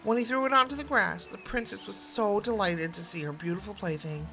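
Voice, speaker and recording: A woman narrates a fairy tale as a storyteller, reading expressively from a script. Her voice sits at a medium pitch, with music clearly playing behind it. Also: brisk, sharp tone, rough recording.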